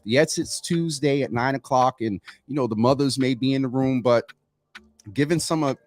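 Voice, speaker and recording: A man hosting a morning health talk show, introducing a guest with a lively, swooping delivery. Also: medium pitch, dry recording. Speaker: host.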